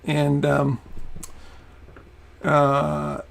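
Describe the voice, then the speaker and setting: Gravelly-voiced man talking casually. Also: fairly high pitch, completely flat delivery, drawn-out words. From Maine, USA. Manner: conversational, with many ums and restarts. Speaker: private detective; podcast host. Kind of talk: casual talk.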